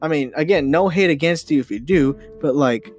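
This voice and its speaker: Young male podcast host, higher-pitched, opinionated and emphatic, stumbling repetitions, guitar bed underneath. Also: darkish tone. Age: young adult. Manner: halting, repeating and restarting phrases, emphatic, mildly sweary. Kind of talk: rambling, opinionated podcast monologue. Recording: guitar music behind the voice. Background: guitar music.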